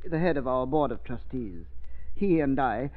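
An actor playing an agitated, pleading older hospital doctor who stammers and repeats himself, in an old-time radio mystery style. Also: male voice, medium pitch, expressive, moderate pace, lightly acted. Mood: anxious pleading. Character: panicky, deranged-sounding older hospital doctor, pleading and hysterical. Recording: tinny band-limited old radio recording.

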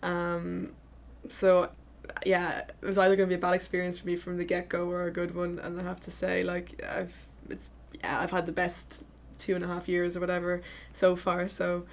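A young woman hosts a casual student discussion. Her voice sits a little low and stays quite flat in melody, and she hedges as she thinks aloud. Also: normal speed, hardly any pauses. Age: young adult. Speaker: student host asking questions. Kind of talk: casual chat.

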